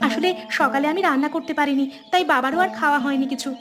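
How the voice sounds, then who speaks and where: A woman voicing a dutiful, gentle young village daughter in a cartoon dub. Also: high voice, fast. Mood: apologetic. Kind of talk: Bengali cartoon dubbing.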